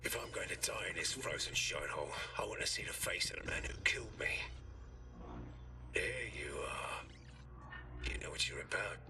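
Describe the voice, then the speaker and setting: An actor playing a ruthless, hard-bitten renegade dealer in a video game, speaking in curt, threatening commands. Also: male voice, deep voice, moderate pace, long pauses, natural voice. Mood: menacing. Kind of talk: video game cutscene acting.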